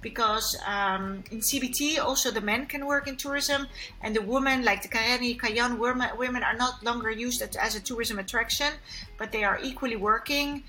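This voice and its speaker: A woman born in Kenya, answering interview questions about tourism in an earnest way, with many pauses and restarts. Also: on the high side, sharp tone, low-quality recording. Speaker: guest, sustainable tourism expert.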